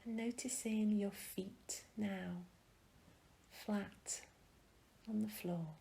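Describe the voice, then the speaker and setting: A woman leading a guided meditation in a soft, breathy, soothing manner with gentle pauses. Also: medium pitch, steady tone.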